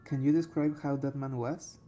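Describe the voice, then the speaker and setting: A woman's voice: very deep voice, fairly even intonation, moderate pace, mellow. A woman playing a troubled, withdrawn schoolboy haunted by nightmares in an amateur radio drama. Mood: anxious, curious. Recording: dull muffled microphone with music underneath.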